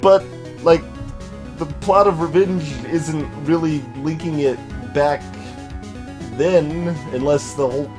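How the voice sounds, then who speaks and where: A man's voice: a little high, fairly even intonation, takes it slowly. Hesitant man rambling over game music. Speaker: let's player. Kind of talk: casual gameplay commentary.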